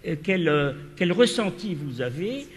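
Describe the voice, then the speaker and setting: A man's voice: high-pitched, big pitch swings, a little slow. A man moderating a literary panel discussion, asking long questions with many hesitations and fillers. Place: lecture hall. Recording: reverberant lecture hall.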